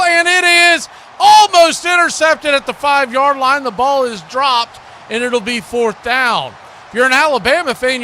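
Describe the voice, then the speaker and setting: A man's voice: extremely high pitch, sharp tone, a little hiss or hum. A man giving live football play-by-play commentary in short, clipped phrases. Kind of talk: live American football radio-style commentary.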